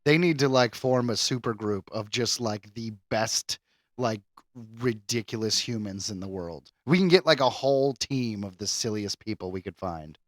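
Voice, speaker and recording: A man's voice: fairly low pitch, animated, moderate pace, no echo, studio quality. A man in his thirties co-hosting a podcast, joking around, laughing often and swearing casually. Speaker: podcast co-host. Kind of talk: casual comedic banter.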